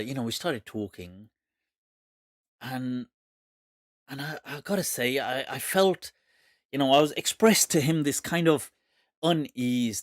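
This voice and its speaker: Man, 40s, relaxed chatty host, mid-pitched, close dry mic. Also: no room sound. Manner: chatty, laughs often, relaxed asides. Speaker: host answering viewer questions. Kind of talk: casual live Q&A.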